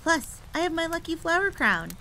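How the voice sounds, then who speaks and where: A woman's voice: extremely high pitch, very animated, normal speed, bright, forceful tone, slight background noise, voice pushed up, heavily acted. A woman voicing a stubborn, eager little sister in an audio drama, with a whiny, insistent manner. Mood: defensive, pleading. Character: stubborn, pleading little sister, eager young farm girl. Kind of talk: acted dialogue in audio drama. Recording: clear rain and thunder ambience behind voice. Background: rain and rolling thunder.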